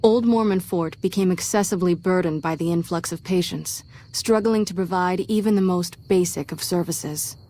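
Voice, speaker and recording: Sober game epilogue storyteller, played by a woman: warm dark tone, lowish, measured. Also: lightly acted. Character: sober, mellow female narrator of game epilogue. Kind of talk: scripted game epilogue narration.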